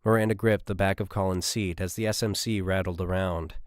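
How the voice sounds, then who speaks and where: A man's voice: sits low, fairly even intonation, a little fast, no room sound, studio quality. A man reading a scripted military science fiction story as an audiobook narrator.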